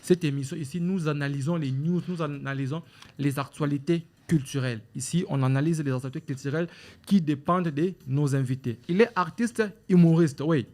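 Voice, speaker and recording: Halting Congolese man hosting a talk show. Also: fairly high pitch, dark tone. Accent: Congolese French. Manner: formal, repetitive, halting with many fillers and restarts. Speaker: show host.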